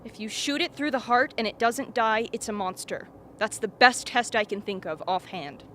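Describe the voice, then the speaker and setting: An actress playing an anxious but rational camp doctor in a radio play, analytical and matter-of-fact. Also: female voice, a little high, normal speed, sharp tone. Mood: clinical, uneasy. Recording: faint background noise.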